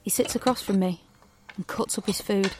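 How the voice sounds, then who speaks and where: A woman's voice: medium pitch, fairly even intonation, normal speed, a little mellow, close to an everyday voice. An actress playing a wary, defiant young woman in a dystopian audio drama, in both dialogue and intimate narration. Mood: tense, shaken. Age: young adult.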